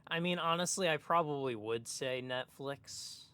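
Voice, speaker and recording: A man's voice: on the high side, a little slow, pushed and bright, clear, resonant tone. A man co-hosting a casual chat, thinking aloud and hesitating as he works out his answers. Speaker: podcast co-host.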